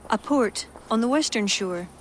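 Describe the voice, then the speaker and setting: An actress playing a wry, brisk adviser in a video game, speaking. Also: female voice, on the high side, expressive, a little hiss or hum, natural voice.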